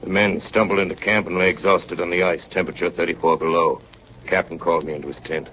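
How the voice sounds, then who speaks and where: An actor playing a grave chief engineer in solemn, measured 1930s radio drama style, recounting an Arctic disaster. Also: male voice, sits low, flat delivery, close to an everyday voice. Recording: muffled, noisy 1930s radio broadcast recording.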